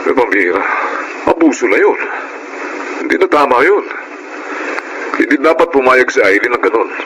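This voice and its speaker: A man's voice: mid-range voice, expressive, normal speed, a bit bright, lightly acted. An actor playing a concerned, protective middle-aged father in a Filipino radio drama. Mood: indignant. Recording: noisy radio drama audio.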